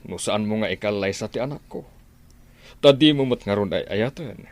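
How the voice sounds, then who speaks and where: A man's voice: lowish voice, normal speed, a little mellow, no room sound, natural voice. A man acting an authoritative, pleading middle-aged father and boss in an Ilocano radio drama. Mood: firm, persuading.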